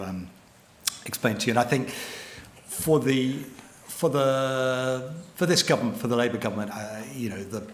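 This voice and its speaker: A middle-aged man with a British accent, a journalist giving a measured panel talk with frequent ums and restarts. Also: fairly low pitch, a little hiss or hum. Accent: British English. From Britain. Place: lecture hall. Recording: echoey hall with faint ambience.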